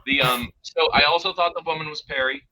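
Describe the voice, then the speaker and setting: A man giving his opinions in a casual review discussion, often pausing and restarting as he thinks. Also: a little high, fairly even intonation, bright, forceful tone, slight background noise, low-quality recording. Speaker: podcast guest reviewer.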